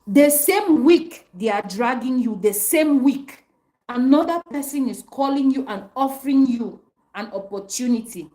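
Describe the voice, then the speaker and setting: A young adult woman hosting an opinion commentary, talking emphatically to viewers, repeating her points and filling pauses with um and uh. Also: sits high, expressive, mellow. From African country outside Nigeria and South Africa. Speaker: commentary channel host.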